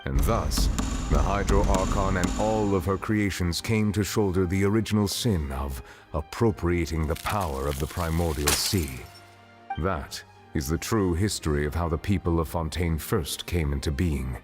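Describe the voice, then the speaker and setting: An actor playing a solemn, composed man of authority in a game, explaining things formally and with measured care. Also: low voice, faint music behind, natural voice. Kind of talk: game story dialogue, formal exposition.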